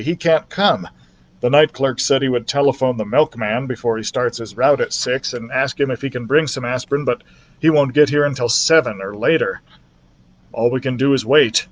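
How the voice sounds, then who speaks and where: A man's voice: medium pitch, normal speed, a little hiss or hum, close to an everyday voice. A man voicing an exasperated, practical father in a children's storybook reading. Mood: helpless.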